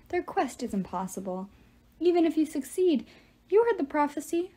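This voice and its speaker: A woman voicing an ancient male giant in an audiobook, mocking, patient and menacing, with deliberate mock courtesy. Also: sits high, mellow, pitched above the performer's own voice. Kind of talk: audiobook character voice.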